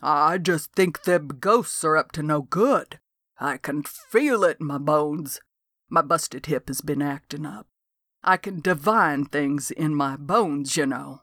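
A woman voicing a suspicious, superstitious old country man with a slow drawl, for an audiobook. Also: fairly high pitch, sharp tone, no echo, studio quality, heavily acted. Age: older. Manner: rural drawl, slow and conspiratorial.

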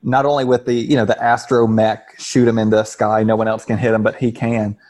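A man's voice: fairly low pitch, level tone, fairly quick, a little mellow. A man on a discussion panel, talking casually and piecing his thoughts together with some hesitation. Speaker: podcast panelist. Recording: slightly dull lo-fi microphone.

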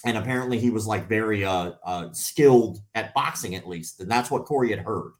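Animated man in his thirties telling stories. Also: on the low side, brisk. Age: 30s. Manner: animated storyteller, acts out quotes. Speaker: podcast co-host and striking coach. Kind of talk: casual chat.

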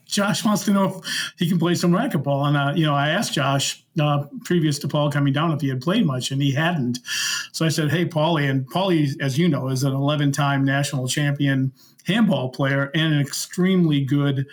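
A middle-aged man telling anecdotes as an interview guest, casually and in halting, conversational pieces. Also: on the high side. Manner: halting, conversational, stepping through stories in small pieces. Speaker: interview guest, former concert security worker.

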